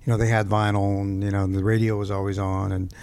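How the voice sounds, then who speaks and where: A man's voice: fairly low pitch, flat delivery, fast talker, vocal fry, darkish tone, clean tone. A man from Southern California, a guest musician in a casual interview, rambling and searching for words. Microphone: handheld mic held close.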